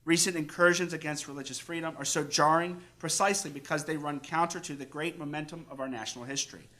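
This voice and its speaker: A man, a priest, reads a formal scripted conference paper aloud with measured, emphatic delivery and careful enunciation. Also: on the high side, steady tone, pushed and bright.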